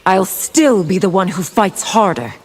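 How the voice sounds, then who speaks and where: An actress playing a principled, composed princess in a video game, firm and measured. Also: female voice, medium pitch, animated, heavy background noise, close to an everyday voice.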